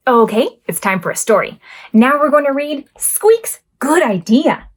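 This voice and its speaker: A woman hosting a preschool storytime as a children's librarian, reading picture books aloud expressively with drawn-out words. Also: high-pitched. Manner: expressive, drawn-out words and acted sound effects.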